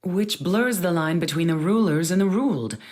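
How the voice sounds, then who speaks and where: An actress playing a stern, proud warrior princess and military commander in an anime audio drama, clipped, businesslike and haughty. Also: female voice, lowish voice, normal speed, darkish tone, lightly acted. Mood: stern, cool. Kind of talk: English-dub anime audio drama acting.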